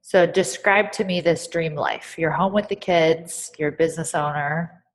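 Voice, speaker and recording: A woman with a somewhat low voice coaches a guest on her podcast. She asks probing questions and keeps her tone encouraging. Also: moderate pace, poor audio. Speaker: podcast host and business coach. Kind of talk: coaching conversation.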